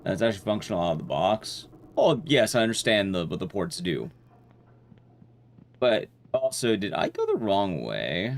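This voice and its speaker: A man's voice: sits low, very animated, moderate pace, gravelly. A man livestreaming a video game, giving casual gameplay commentary while chatting with his viewers.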